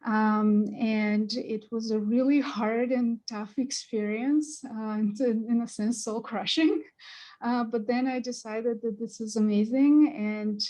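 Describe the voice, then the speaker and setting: A woman from Bulgaria, a mathematics professor telling her story on a panel, halting with many pauses and fillers. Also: fairly high pitch, dark tone. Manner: halting, with many ums and uhs.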